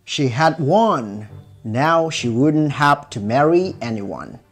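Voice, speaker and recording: A man narrating a scripted children's fairy tale in a measured, expressive storybook style. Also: medium pitch. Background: music.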